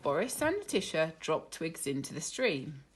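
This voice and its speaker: A woman reading a children's story aloud in an expressive, deliberate teacherly way. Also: low-pitched, husky. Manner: animated, deliberate.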